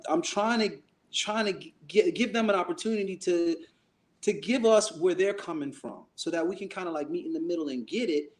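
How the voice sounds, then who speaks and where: A man from Jamaica with a high voice talks as a podcast guest. He tells stories animatedly and with exasperation, swearing freely and pushing his points hard. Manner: animated, profane, exasperated storytelling. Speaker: podcast guest, former panelist. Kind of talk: casual podcast talk.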